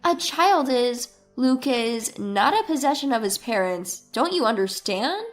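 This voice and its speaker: A woman's scripted story narration is delivered in the first person. Her voice sits fairly high, with soft music underneath. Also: very animated, unhurried.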